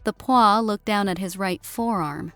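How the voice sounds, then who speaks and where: A woman's voice: mid-range voice, measured pace, fairly bright tone, clear, resonant tone, soft background music. A woman narrating a scripted science fiction story as an audiobook.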